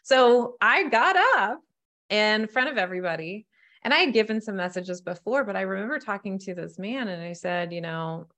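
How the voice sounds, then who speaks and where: A woman who works as a spiritual medium, talking casually on a podcast and telling animated stories that act out quoted dialogue. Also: medium pitch, moderate pace.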